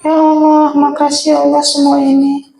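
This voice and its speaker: A man's voice: very high voice, monotone, moderate pace, pitched above the performer's own voice. A man voicing a pious, grateful child who prays earnestly and exclaims in a live children's story. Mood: grateful, eager. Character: grateful pious child praying eagerly to God. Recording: echoey room with loud music.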